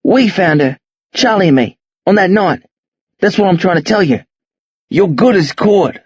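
Casting: man to play a confrontational Australian teen boy for a novel reading, husky, slangy, hot-tempered, closely recorded. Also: high-pitched, expressive, moderate pace, no echo, muffled recording. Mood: impatient, pressing. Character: angry accusing teenage boy, rough, foul-mouthed, defiant.

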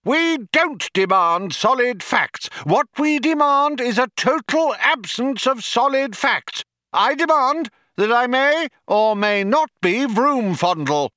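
Shrill, bawling young philosopher. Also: male voice, steady tone, normal speed, few pauses, pushed and bright, no room sound, clean studio sound. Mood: outraged, bawling. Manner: bawling, pompous, overexcited. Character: shrill, hotheaded younger male philosopher, absurdly demanding.

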